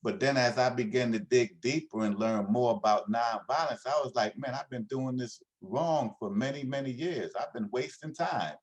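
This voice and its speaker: A man's voice: medium pitch, normal speed, hardly any pauses. A man explaining ideas about nonviolence in an earnest, conversational way, speeding up when he presses a point. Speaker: nonviolence trainer. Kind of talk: explanatory talk.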